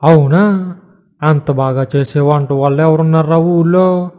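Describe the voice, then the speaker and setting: Man playing a higher-voiced servant, reverberant. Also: fairly even intonation, a little slow, warm tone, close to an everyday voice. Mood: curious, cautious. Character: curious but cautious male household servant. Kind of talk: cartoon story dialogue.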